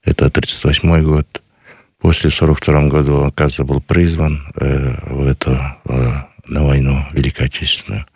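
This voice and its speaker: A man, answering a radio interviewer earnestly and slowly, with many pauses. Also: a bass voice, little pitch movement, darkish tone, slightly rough, no echo, studio quality. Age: 50s. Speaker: guest, grandson of a missing soldier.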